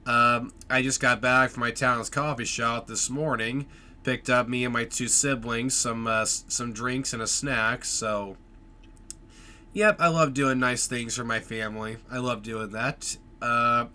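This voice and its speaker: A man's voice: medium pitch, steady tone, measured pace, pushed and bright. A man hosting his own podcast in an upbeat, chatty way, sounding nasal and stuffed up from a cold, with frequent fillers and restarts. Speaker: podcast host. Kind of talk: casual solo podcast talk.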